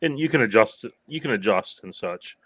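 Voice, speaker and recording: A man talking as a guest in a casual online chat, relaxed, with dry humor and hesitant fillers. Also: fairly low pitch, a little fast, slight background noise. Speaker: guest from a parts company. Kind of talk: casual video-call chat.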